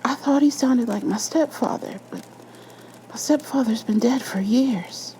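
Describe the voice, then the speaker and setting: A woman voicing a frightened, upset nineteen-year-old girl with halting speech in an audio horror story. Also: fairly high pitch, warm tone, a little hiss or hum, voice pushed up. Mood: shaken, halting.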